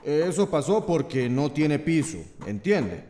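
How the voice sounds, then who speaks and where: A man's voice: a little high, big pitch swings, a little slow, dark tone, echoey room, a little hiss or hum. An actor with a Central American accent playing a defensive, reluctant small-town policeman in a radio drama.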